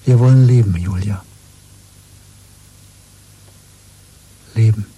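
An actor playing a weary, bitter, quietly defiant dissident in a radio drama, husky and intimate, speaking slowly and broodingly. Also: male voice, lowish voice, poor audio. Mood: intense, hushed resolve. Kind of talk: 1970s German radio play acting.

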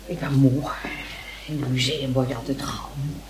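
A woman's voice: a bass voice, fairly even intonation, normal speed, darkish tone. A woman playing a kindly, fussing aunt in a radio play. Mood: patient. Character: kindly, fussing aunt looking after her young nephew.

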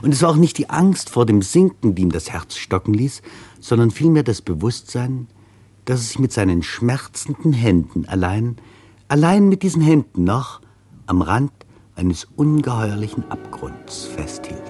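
A man reading a literary story as dramatic narration, in a deliberate and intense storytelling manner. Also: mid-range voice, very animated, dark tone, slightly rough. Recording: music behind the voice. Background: music.

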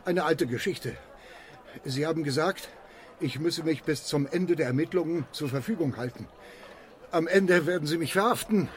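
An actor playing an evasive, fatalistic man with a guilty past, defensive and halting in radio drama style. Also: a little high, lightly acted. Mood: anxious. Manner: defensive, halting under pressure.

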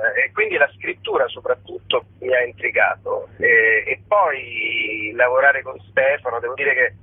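A man's voice: mid-range voice, slightly rough. A middle-aged man, chatting casually as a radio guest and searching for words. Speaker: actor interviewed as guest. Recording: tinny phone line with echo.

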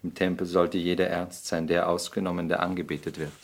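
An actor playing a suave, cynical English lord in a 1960s German radio play, urbane and drily ironic. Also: male voice, sits low, flat delivery, fairly quick, vocal fry. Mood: urbane, amused.

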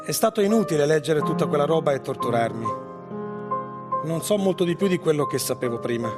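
A man performing a haunted, grieving genocide survivor in a measured, intense radio-theatre monologue with pauses. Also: a little high, expressive, lightly acted. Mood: bitter, grieving. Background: live music under the voice.

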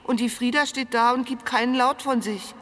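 A woman acting as a chatty dog walker gives her witness account in a somewhat high voice. She sounds earnest and plain-spoken, in the manner of a radio drama monologue. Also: steady tone, moderate pace, fairly bright tone, slight background noise, natural voice. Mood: shaken, bewildered. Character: female dog-walker witness giving a shaken police statement.

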